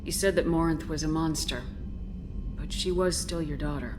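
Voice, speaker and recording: A woman's voice: low voice, normal speed, lightly acted. A woman playing a tough, direct soldier commander in a video game, speaking in a terse, controlled way. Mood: measured concern. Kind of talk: dramatic video game acting. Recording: background music under the voice.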